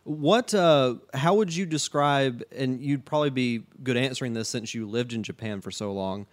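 A man hosting a casual podcast interview, relaxed and chatty, often restarting his sentences. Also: mid-range voice, moderate pace. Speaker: podcast host.

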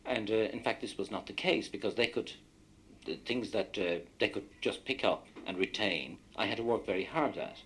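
A man's voice: fairly low pitch, moderate pace, a bit bright, slight background noise. An Irish man whose first language is Irish, telling rambling stories from his own experience in a radio discussion. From Ireland. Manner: anecdotal, rambling recollection. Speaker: guest.

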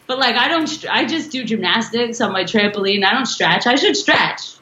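A woman's voice: a little high, lively intonation, moderate pace, sharp tone, roomy sound, dull audio. A woman guest on a podcast interview, chatting casually in a rambling, giggly way with a strong voice. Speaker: musician guest.

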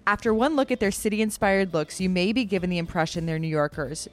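Woman podcast host, low voice, upbeat delivery, faint music underneath. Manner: upbeat, reads intro smoothly, then asks short questions. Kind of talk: scripted intro, then interview questions.

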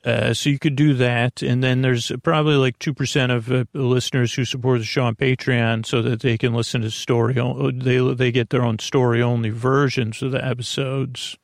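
Creaky-voiced man, rambling sleepily along. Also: medium pitch, little pitch movement, no echo, clean studio sound. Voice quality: gravelly, creaky voice. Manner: halting, repeats words, loses the thread and circles back. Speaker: podcast host. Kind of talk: rambling, meandering bedtime podcast monologue.

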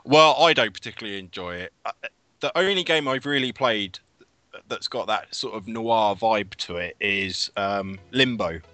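A man on a casual gaming podcast, low-key and unimpressed. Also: moderate pace, sharp tone, no echo.

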